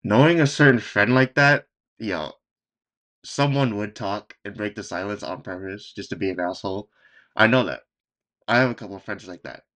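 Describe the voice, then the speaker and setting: A man's voice: on the low side, normal speed, a bit bright. A man hosting a reaction video in casual chat, with a nonchalant, understated way of talking.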